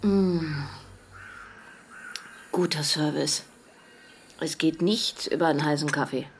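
A woman's voice: low voice, slow, a little hiss or hum. An actress playing a tired, wry best friend in her forties in an audio drama, speaking in a dry, weary way. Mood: hungover, wry. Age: 40s.

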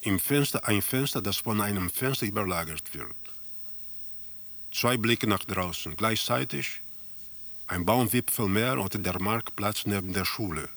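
A man playing a detached narrator in a literary radio drama, describing bleak, surreal scenes deliberately in a gravelly voice. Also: lowish voice, fairly bright tone. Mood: ominous, detached. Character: male narrator of a bleak, surreal travelling salesman tale.

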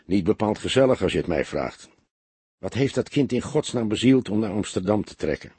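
A man voicing a stout middle-aged police detective in an audiobook, calm, wry and courteous, with a laconic way of talking. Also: on the low side, fairly quick, breathy edge, dry recording. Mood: businesslike.

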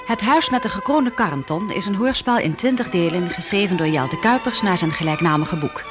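Male radio announcer: high voice, lively intonation, scripted credits. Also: a little fast. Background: loud music.

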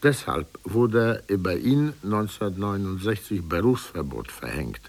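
A man's voice: on the low side, lively intonation, normal speed, vocal fry. A man reading a prepared literary commentary aloud in an emphatic, rhetorical way. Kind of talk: prepared essay read aloud.

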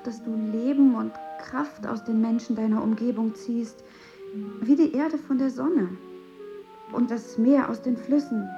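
An actress playing a sober, candid young woman in a radio play, speaking in a measured, often hushed way. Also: a little high. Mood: gently refusing. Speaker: character. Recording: dull radio play audio with music.

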